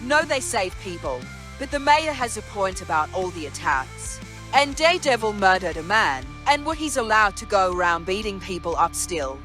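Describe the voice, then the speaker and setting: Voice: mid-range voice, sing-song, normal speed, sharp tone, faint music behind. A performer voicing an uneasy, conflicted citizen in a news segment of a motion comic. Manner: hesitant, uneasy. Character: conflicted citizen interviewed on the street.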